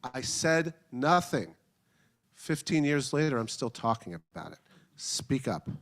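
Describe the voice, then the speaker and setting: A man answering questions on a panel at a public forum, measured and diplomatic in his phrasing. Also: mid-range voice, big pitch swings, fairly bright tone. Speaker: advocacy organization representative on a panel.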